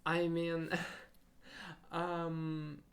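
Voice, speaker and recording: A young man whose first language is Russian, thinking aloud in a halting solo podcast monologue and searching for his words. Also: on the high side, monotone, vocal fry. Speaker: podcast host.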